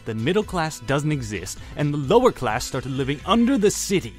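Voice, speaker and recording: A man's voice: fairly high pitch, big pitch swings, normal speed. A man playing a scornful, hot-headed character in a comic dub, with a sardonic manner. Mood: exasperated. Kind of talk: dramatic comic dub acting. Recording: voice over music.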